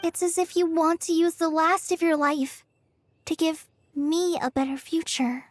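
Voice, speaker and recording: An actress playing a gentle, humble young woman in a video game, speaking in an earnest, polite and hesitant way. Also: very high-pitched, flat delivery, measured pace, a little hiss or hum. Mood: quiet resolve.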